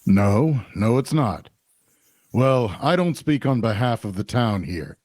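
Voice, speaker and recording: A man playing a big, guarded tavern barkeep, measured and plain-spoken, in a fantasy audio drama table read. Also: medium pitch, animated, darkish tone, no echo.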